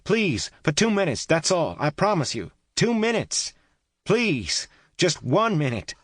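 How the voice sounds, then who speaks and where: A man voicing a brave, desperate young woman doctor held captive, shouting and pleading through a wall. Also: on the high side, very animated, unhurried, dry recording, studio quality, pitched above the performer's own voice, full character voice.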